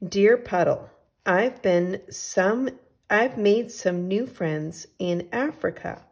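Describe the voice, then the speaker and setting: A woman reading as an adventurous, affectionate male storybook traveler, with exclamatory phrasing and drawn-out sign-offs. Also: medium pitch, darkish tone, slightly rough. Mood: eager, affectionate. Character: adventurous, affectionate storybook traveler writing postcards to his friend.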